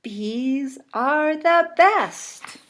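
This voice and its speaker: A woman voicing a cheerful, eager young female honeybee in a children's storybook, chanting in a deliberate, exclamatory way. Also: sits high, expressive, fairly bright tone, voice pushed up, heavily acted. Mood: happy. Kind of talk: children's storybook read-aloud. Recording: clearly noisy recording with clicks.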